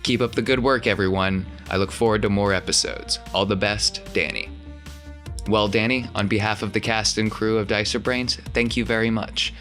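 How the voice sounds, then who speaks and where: A man's voice: lowish voice, fairly even intonation, normal speed, fairly bright tone, soft background music. A man hosting a tabletop role-playing podcast as game master, with a teasing, jokey manner.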